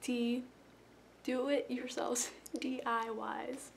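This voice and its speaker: A woman's voice: high voice, level tone, slow, a little hiss or hum. Chatty young woman rambling at a webcam. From South Florida. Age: young adult. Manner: rambling, self-deprecating, chatty. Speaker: vlogger. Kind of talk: casual rambling intro vlog. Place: small bedroom indoors. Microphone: webcam at close range.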